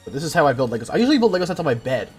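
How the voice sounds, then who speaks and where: Young male streamer, lively swinging delivery, casual slang and self-deprecation, light music underneath. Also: a little high, racing, husky. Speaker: livestreamer, host. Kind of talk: casual livestream chat while building.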